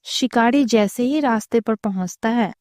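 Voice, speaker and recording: A woman's voice: fairly high pitch, normal speed, darkish tone, no room sound, studio quality. A woman narrating a scripted story for children as a storyteller.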